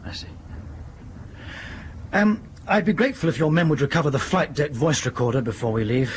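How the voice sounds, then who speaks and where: An airline captain in a radio drama. He is courteous but blunt, with a clipped, dry, exasperated delivery. His voice is slightly high, with faint noise behind it. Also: very animated, a little fast. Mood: indignant, sarcastic. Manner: clipped, polite, dryly sarcastic.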